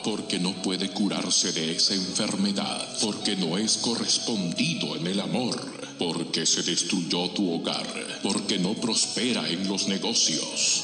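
A man announcing a radio ad in a solemn, dramatic way. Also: fairly low pitch. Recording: echoey radio ad over music.

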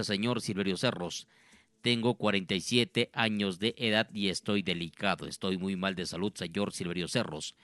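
A man's voice: on the low side, a bit bright, dry recording. A man addressing radio listeners live as a host and healer, in an earnest, repetitive, preacher-like way.

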